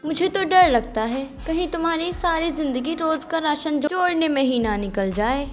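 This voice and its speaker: A woman's voice: sits high, animated, moderate pace. A woman voicing a spiteful, taunting older village aunt in a Hindi cartoon story, with music behind her. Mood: mocking.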